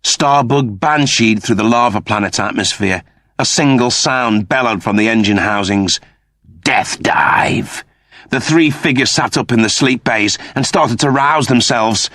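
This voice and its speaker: A man's voice: mid-range voice, moderate pace, a bit bright, no echo, studio quality. A man reading a story as a narrator, in a dramatic scripted style. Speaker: audiobook narrator.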